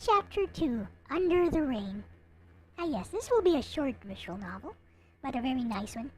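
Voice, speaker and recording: A woman's voice: sits high, big pitch swings, normal speed, darkish tone. A woman reading a story aloud expressively, with playful, theatrical casual asides. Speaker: host reading a visual novel aloud. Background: background music.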